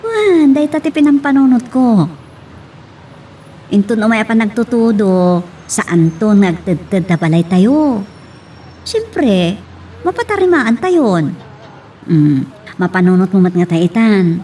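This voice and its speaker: A woman acting a caring middle-aged mother who works abroad, speaking with an Ilocano accent in a drawn-out, affectionate way in an audio drama. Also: mid-range voice, big pitch swings, mellow. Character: caring mother working abroad as a maid, phoning home. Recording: distant mic with faint noise.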